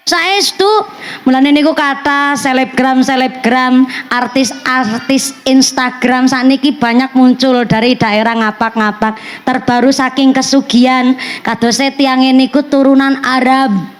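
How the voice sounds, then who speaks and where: A woman preacher with a Banyumasan Javanese accent giving an animated, joking sermon, switching between Javanese and Indonesian. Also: sits high, a little slow. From Central Java. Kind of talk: religious sermon.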